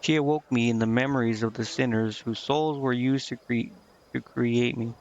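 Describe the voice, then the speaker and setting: A man's voice: mid-range voice, fairly even intonation, moderate pace. A man doing casual video game commentary, thinking aloud in a rambling way and stumbling as he reads dialogue out. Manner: rambling, thinking aloud, stumbling over words when reading. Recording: dull, lo-fi microphone sound.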